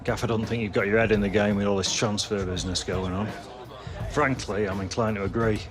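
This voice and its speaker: A man's voice: fairly low pitch, fairly even intonation, fairly quick, lightly acted. A man playing a blunt, matter-of-fact football coach in a video game cutscene. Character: blunt, fair-minded male football coach.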